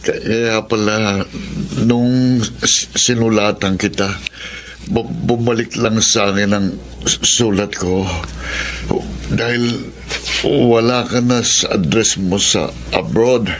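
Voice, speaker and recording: Slow, stammering frail Filipino man. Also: lowish voice, steady tone, a little hiss or hum. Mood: halting, wistful. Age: middle-aged. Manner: stammering, halting. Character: frail, stroke-stricken father, halting and humble. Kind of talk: radio drama acting.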